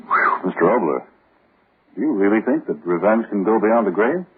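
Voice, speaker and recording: A man's voice: lowish voice, animated, moderate pace, breathy edge. A man announcing a 1940s radio program and reading its sponsor's ads in a formal announcer style. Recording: tinny old radio broadcast.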